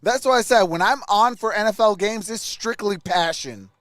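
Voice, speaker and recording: A man's voice: high voice, moderate pace, fairly bright tone, no room sound, studio quality. A man hosting a sports livestream, casually mixing play-by-play with informal, chatty replies to viewers. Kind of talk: casual live play-by-play and chat.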